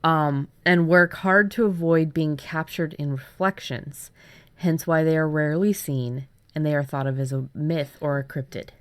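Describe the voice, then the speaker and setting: A woman's voice: sits low, steady tone, moderate pace. A woman co-hosting a writing workshop, casually chatting as she shares her story ideas. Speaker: writer and workshop co-host.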